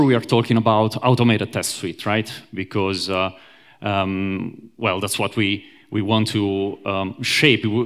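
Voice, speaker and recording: A man with an Italian accent speaks on stage at a tech conference, his voice sitting fairly low. He talks in a halting way, full of "uh" and "um" and restarted phrases. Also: fairly bright tone. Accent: Italian (Roman). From Italy. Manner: halting, many fillers and restarts. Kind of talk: technical conference talk.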